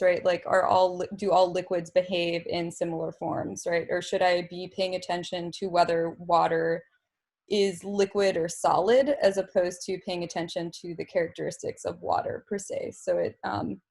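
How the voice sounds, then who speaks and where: A woman's voice: on the low side, fairly even intonation, moderate pace, darkish tone. A woman hosting a podcast, asking long, rambling questions full of fillers and restarts. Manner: rambling, hesitant, many fillers. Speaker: podcast host. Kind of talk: casual conversation.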